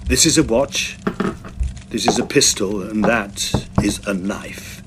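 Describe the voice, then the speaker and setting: An actor playing a stern, resolute young male Oxford student in a period audio drama, commanding, grim and deliberate. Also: on the low side, noisy background. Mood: grim, threatening.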